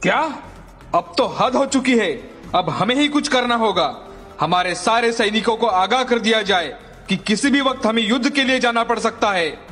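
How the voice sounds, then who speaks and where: A man voicing a troubled, commanding fairy-tale king in formal, declamatory animated story dialogue. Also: extremely high pitch, normal speed. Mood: indignant. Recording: long hall reverb, faint music.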